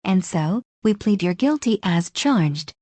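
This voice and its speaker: A man voicing an accusing young female witness in a high put-on voice. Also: expressive, takes it slowly, darkish tone, no echo, exaggerated voice.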